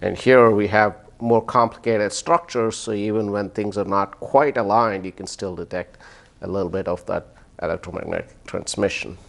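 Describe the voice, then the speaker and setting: A man with a fairly low voice lectures through a hands-on demonstration as a professor. He explains step by step, pausing to find words and tagging points with brief check-in questions. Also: fairly even intonation, vocal fry. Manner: explanatory, hesitant pauses, checks in with "right?" and "okay?". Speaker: physics professor.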